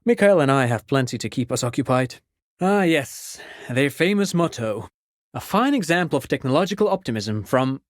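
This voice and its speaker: An actor playing a chatty, courteous amateur academic in a video game, explaining things precisely like an eager enthusiast. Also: male voice, medium pitch, expressive, moderate pace, no echo, clean studio sound, natural voice. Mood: eager, helpful. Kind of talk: game character dialogue.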